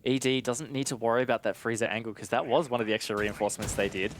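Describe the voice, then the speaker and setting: A man giving analytical esports commentary in a conversational, measured way. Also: medium pitch, steady tone, slight background noise.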